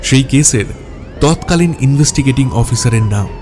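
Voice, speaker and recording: A man narrating a scripted detective story in a measured way, with dramatic pauses. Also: mid-range voice, expressive, noisy background. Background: background music.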